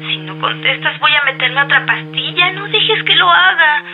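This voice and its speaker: An actress playing a desperate, needy pill addict through a telephone filter in a radio drama. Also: female voice, sits high, animated, normal speed. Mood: desperate, demanding. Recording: tinny phone line, faint music.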